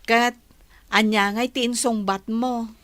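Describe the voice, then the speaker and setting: A woman with an Ilocano accent playing a blunt, frustrated confidante in a radio drama, forceful and scolding. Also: on the high side, steady tone, slow, no echo. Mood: exasperated.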